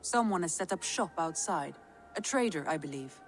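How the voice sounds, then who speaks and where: A woman's voice: low voice, animated, normal speed, faint music behind. A woman playing a practical, composed Viking settlement steward in a video game, polite and businesslike. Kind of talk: video game cutscene acting.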